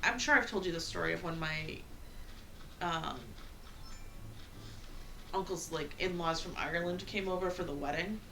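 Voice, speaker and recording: A woman's voice: lowish voice, moderate pace, pauses often, pushed and bright, a little hiss or hum, poor audio. A woman from the northern US with a slight Boston accent on some words, chatting and telling stories while gaming on a live stream. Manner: chatty, storytelling, laughs easily. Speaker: stream co-host. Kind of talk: casual chat while gaming.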